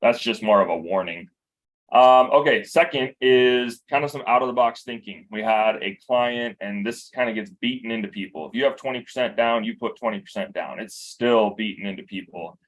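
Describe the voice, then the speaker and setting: A man from a bank giving a relaxed briefing, explaining loans with examples. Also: medium pitch, normal speed, poor audio. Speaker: bank loan officer. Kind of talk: informal work briefing.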